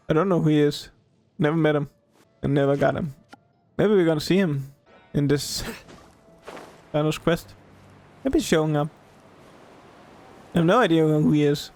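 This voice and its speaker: A man's voice: fairly high pitch, gravelly, a little mellow. A man giving casual, rambling playthrough commentary as a gaming streamer, with loose grammar.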